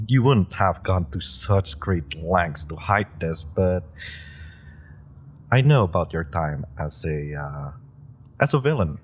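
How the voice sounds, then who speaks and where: Rookie hero voiced by a man, deep-voiced, slow, flustered and rambling. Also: exaggerated voice. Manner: rambling and flustered, stammers and laughs nervously. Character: nervous, eager young male superhero rookie. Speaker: audio roleplay performer. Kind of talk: audio roleplay drama.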